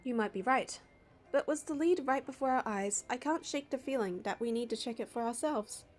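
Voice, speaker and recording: High-voiced woman narrating a game story. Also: brisk. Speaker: narrator and reader of a game playthrough. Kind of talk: scripted story narration with dialogue read aloud. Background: background music.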